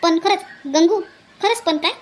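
A woman voicing a warm-hearted older cartoon village auntie, gushing and insistent, repeating herself in rustic Vidarbha Marathi. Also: very high-pitched, normal speed. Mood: moved. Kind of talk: comedy cartoon dialogue.

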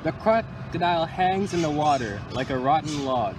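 A young man reads a picture book to small children with expressive, deliberate storytelling phrasing, recorded outdoors. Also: a little high. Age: young adult. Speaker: county environmental employee reading to children. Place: outdoors under a tree by water. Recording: outdoor recording with loud ambient noise.

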